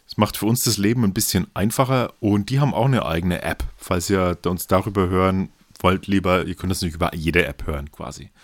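A man's voice: low-pitched, a little fast, few pauses, dry recording. A man with a Franconian accent co-hosting a beer podcast in casual chat, rambling and correcting himself often, laughing easily. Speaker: podcast co-host.

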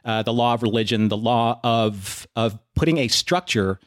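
A man co-hosting a casual discussion podcast, laying out long, measured, analytical arguments. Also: mid-range voice. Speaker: podcast co-host.

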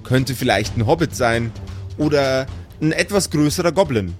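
A man's voice: mid-range voice, animated, normal speed, faint music behind. A man improvising narration as game master of a fantasy tabletop audio play, drawing out his scene descriptions suspensefully.

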